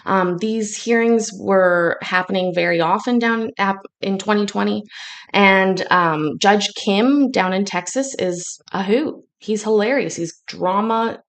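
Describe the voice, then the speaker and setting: A woman born in Scotland hosting a casual livestream from her kitchen, chatty and joking with a sarcastic streak. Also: medium pitch. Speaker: livestream host. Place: home kitchen.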